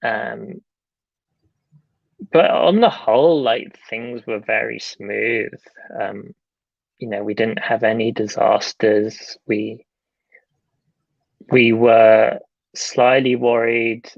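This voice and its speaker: A man's voice: mid-range voice, steady tone, slow pace, creaky, pauses often, no echo, dull audio. A young man, a film director, answering interview questions in a casual, hesitant way, with lots of fillers and restarts, over a video call.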